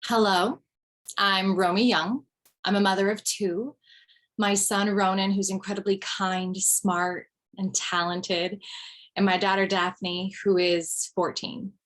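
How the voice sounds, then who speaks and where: A woman's voice: mid-range voice, level tone, slow, a bit bright. A woman acting a wry widowed mother doing stand-up. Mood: wry joking. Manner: wry, rambling, punchy comic delivery. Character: recently widowed mother in her forties, rambling, darkly joking. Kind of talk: table read of stand-up style monologue.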